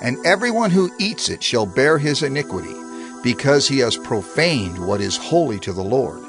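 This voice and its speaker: Brief: male scripture narrator, mid-pitched, animated intonation, music underneath. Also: normal speed.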